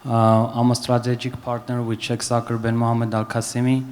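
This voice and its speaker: A man's voice: mid-range voice, completely flat delivery, a little fast, darkish tone. A man from Armenia introducing himself as a business panelist at a conference. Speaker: panelist, shipping business owner.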